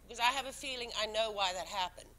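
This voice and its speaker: Forceful woman commissioner, English second language. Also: medium pitch, quick pace, low-quality recording. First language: not English. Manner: emphatic and defensive, with frequent restarts. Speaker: town commissioner. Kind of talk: speaking at a public board meeting. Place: town council meeting room.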